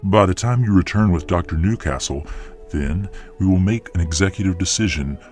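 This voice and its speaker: Deep-voiced, commanding fantasy lord played by a man. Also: normal speed, darkish tone, soft background music, natural voice. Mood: stern, commanding. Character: commanding, stern male fantasy lord presiding over council. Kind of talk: fantasy audio drama acting.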